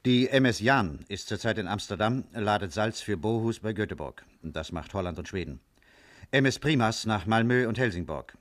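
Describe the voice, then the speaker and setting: Low, dry-sounding police officer played by a man. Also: lively intonation, normal speed, no room sound, natural voice. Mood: factual. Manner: factual, list-like reporting. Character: businesslike police officer reporting ship movements. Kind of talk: 1950s German radio crime drama acting.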